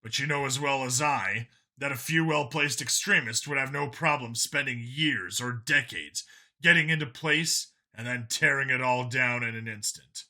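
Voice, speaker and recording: Guy reading as a tired earnest cop man in a sci-fi audiobook, insistent, argues a lot, bright forceful voice. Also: mid-range voice, normal speed. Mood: grave, insistent. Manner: long, insistent, reasoning speeches.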